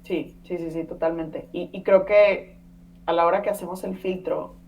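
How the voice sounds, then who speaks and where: A woman's voice: lowish voice, steady tone, moderate pace, a little mellow. A Mexican woman in her thirties, a podcast guest talking casually and chattily, with many fillers and tag questions. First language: Spanish. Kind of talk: casual conversational interview. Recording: distant mic.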